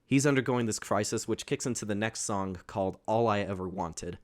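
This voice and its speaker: Rambling young baritone man recapping a film. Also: brisk. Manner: rambling, self-correcting, with restarts. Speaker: host. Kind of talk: casual movie recap.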